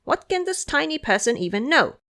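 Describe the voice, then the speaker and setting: A woman puts on a young male crown prince, haughty and quick to temper. The delivery is imperious, with animated rises and falls suited to audio drama. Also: high-pitched, pitched above the performer's own voice, full character voice. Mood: scornful. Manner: imperious, indignant.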